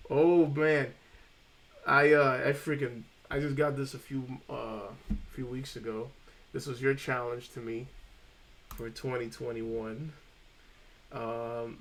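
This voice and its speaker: Casting note: male streamer with a gravelly, creaky voice, casual and rambling. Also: medium pitch, fairly bright tone, rough recording. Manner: rambling, chatty, says "freaking" a lot. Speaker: streamer reviewing trophy lists. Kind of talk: casual live-stream chat.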